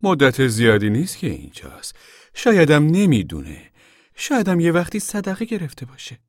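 A man's voice: fairly high pitch, huge pitch swings, dry recording, studio quality, voice pushed up. A man voicing a proud, outspoken poor woman in a story, insistent, emotional and bitter.

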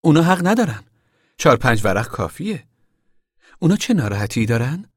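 A man voicing a stern, indignant committee woman in a story, sharp, scolding and commanding. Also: medium pitch, big pitch swings, husky, dry recording, studio quality, pitched above the performer's own voice. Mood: sharp, accusing.

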